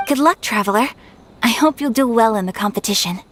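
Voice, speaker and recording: An actress playing a gracious young noblewoman in an anime game, speaking calmly, formally and politely. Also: fairly high pitch, brisk, a little hiss or hum, close to an everyday voice. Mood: calm, reassuring.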